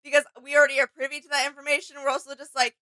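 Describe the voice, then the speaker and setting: A woman with a high, bright voice, co-hosting a casual reaction chat. She speaks in an agreeable, somewhat tentative manner, recorded dry and close. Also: monotone, rapid-fire, no echo. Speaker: reaction video co-host.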